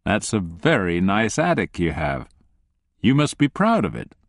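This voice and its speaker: A man voicing a calm, cruel male thug who speaks in a soft, unhurried, mocking way. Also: medium pitch, no room sound, clean studio sound. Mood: quiet menace.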